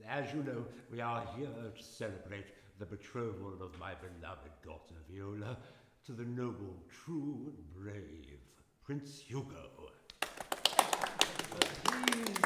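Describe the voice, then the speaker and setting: A commanding, pompous king with big swoops in a low voice. He declaims slowly, like a ruler in a fairy-tale radio play, in a hall with long reverb. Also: very animated, very noisy. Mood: grand, jovial.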